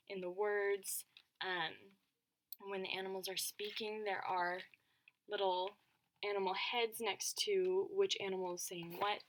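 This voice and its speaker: A woman's voice: medium pitch, fairly bright tone, slight background noise. A young woman giving a recorded class presentation, thoughtful and hesitant, with many ums and restarts. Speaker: education student.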